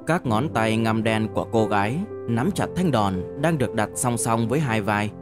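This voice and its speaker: A man narrating a novel as an audiobook in a measured, deliberate storytelling manner. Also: on the low side, music in the background.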